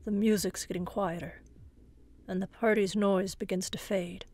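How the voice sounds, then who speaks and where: A woman narrating a scripted horror story in a suspenseful manner, often hushed, with dramatic pauses. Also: medium pitch, fairly even intonation. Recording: music bed behind the voice.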